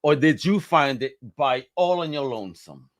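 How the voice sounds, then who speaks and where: A man's voice: fairly high pitch, expressive, moderate pace. A man hosting a podcast interview in a hyped, jokey, slangy way, calling his guest brother. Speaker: podcast host.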